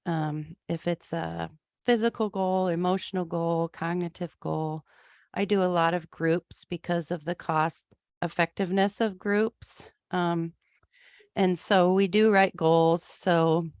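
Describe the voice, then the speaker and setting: A woman from Iowa with a low, gravelly voice, answering questions in an easygoing way and often pausing with "um." The sound is dry and close. She is a music therapist talking with an interviewer. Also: fairly even intonation, darkish tone, no echo. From Iowa, USA. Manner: easygoing, frequent ums, light laughs.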